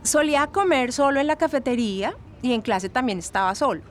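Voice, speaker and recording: High-voiced chatty Latin American witness. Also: female voice, fairly quick, faint music behind. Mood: chatty, sympathetic. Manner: gossipy, expressive, colloquial.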